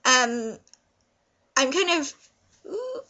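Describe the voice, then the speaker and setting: A woman's voice: high-pitched, very animated, drawn-out words, bright, forceful tone, highs cut off. A young woman giving a casual, unscripted video review in a rambling, gushing way, repeating words for emphasis. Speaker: vlogger reviewing visual novels.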